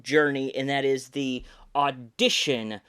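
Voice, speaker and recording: Male podcast host, relaxed and rambling, lots of fillers, smooth clear tone, a bit stuffy. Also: medium pitch, slow. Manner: easygoing, rambling, lots of filler words and restarts. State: slightly congested from sinus trouble. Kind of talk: casual podcast chat.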